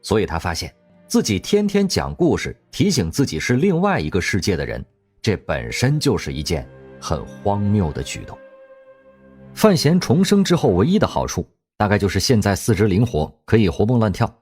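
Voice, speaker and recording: A man narrating an audio drama in a wry, expressive storytelling manner. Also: on the low side, moderate pace, slightly rough. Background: faint background music.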